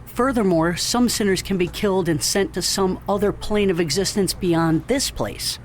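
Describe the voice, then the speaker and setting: An actor playing a measured, authoritative captain in an audio drama, speaking formally and deliberately. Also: male voice, high-pitched, a little hiss or hum, close to an everyday voice. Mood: grave, musing.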